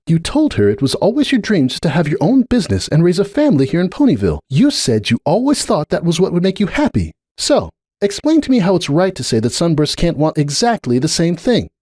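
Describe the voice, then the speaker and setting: Man voicing an insistent, exasperated cartoon mare, pitched high. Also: huge pitch swings, brisk, hardly any pauses, darkish tone, breathy edge, dry recording, studio quality, heavily acted. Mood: impassioned. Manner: insistent, argumentative, emphatic. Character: earnest, exasperated female cartoon pony pleading her case.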